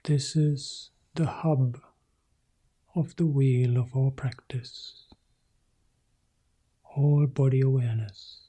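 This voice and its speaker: A man leading a guided meditation as a teacher, speaking calmly in short, sparse phrases with long pauses, in a soft, husky, breathy voice. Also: mid-range voice. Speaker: meditation teacher guiding a practice.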